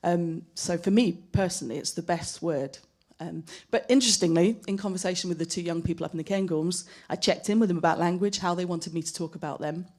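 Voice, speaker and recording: A woman speaking as a panelist at a live discussion, thoughtful and personal, measured in how she talks. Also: on the low side, a little mellow. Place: gallery event space.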